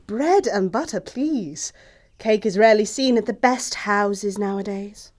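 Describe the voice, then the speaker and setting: A woman's voice: mid-range voice, unhurried, a little mellow, natural voice. A woman acting a snobbish young English society lady in an audio play comedy, with pompous, precise, disdainful delivery. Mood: icily polite. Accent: English upper-class.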